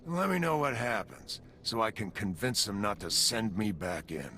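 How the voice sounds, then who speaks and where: A man's voice: fairly low pitch, big pitch swings, moderate pace, bright, forceful tone, soft background music. An actor playing a jaded, sardonic male soldier who talks in slang in a video game. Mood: wry, rattled. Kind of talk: video game cutscene acting. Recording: faint background ambience noise.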